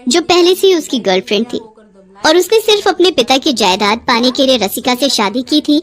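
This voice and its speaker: A woman's voice: high-pitched, expressive, a little fast. A woman narrating a scripted family story in a dramatic storytelling style.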